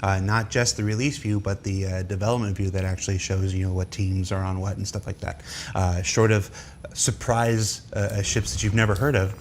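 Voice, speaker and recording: A man's voice: lowish voice, flat delivery, fairly quick, a little hiss or hum. A man hosting a developer question session, moderating casually and reading out questions.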